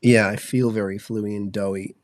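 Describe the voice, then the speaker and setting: A man's voice: lowish voice, little pitch movement, normal speed, no room sound, clean studio sound. A man in his twenties with an Australian accent, co-hosting a podcast, sounding congested and fluey, rambling with many fillers. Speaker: podcast co-host. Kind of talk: casual chat.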